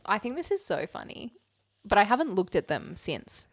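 A woman's voice: medium pitch, sing-song, normal speed, no room sound. A woman around thirty co-hosting a podcast, telling self-deprecating anecdotes in a casual, chatty way. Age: late 20s to early 30s. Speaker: podcast co-host.

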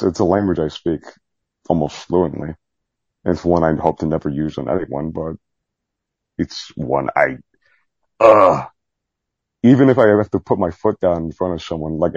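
Man, very low husky voice, rambling candid chat, self-deprecating, salty language, muffled roomy recording. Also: a little mellow. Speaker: podcast guest, Navy veteran. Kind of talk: candid casual interview chat.

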